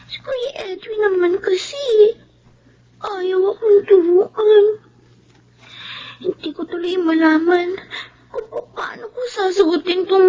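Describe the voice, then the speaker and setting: A woman's voice: very high voice, highs cut off. An actress playing a timid, dutiful young girl with a plaintive, whiny manner in a radio drama.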